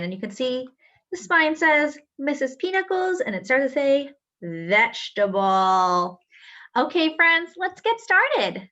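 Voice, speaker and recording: A woman reading a picture book aloud to young children, enunciating deliberately and adding playful asides as a story-time host. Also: on the high side, sharp tone, muffled recording.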